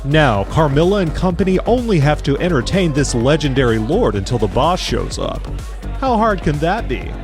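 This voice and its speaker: A wry reality-show narrator, delivers scripted narration with dramatic relish. His fairly high voice moves in a lively way over prominent music. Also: normal speed.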